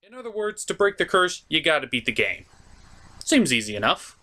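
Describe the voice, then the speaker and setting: This is a comedic film reviewer hosting a recap. The delivery is very animated and sarcastic, with pitch that swings widely from line to line. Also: normal speed. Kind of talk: comedic movie recap commentary.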